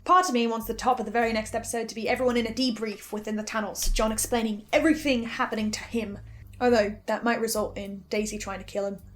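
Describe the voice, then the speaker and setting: A woman's voice: fairly high pitch, fairly even intonation, fast, slight background noise. A woman giving semi-scripted theory commentary with a theatrical, emphatic delivery. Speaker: podcast listener sharing theories.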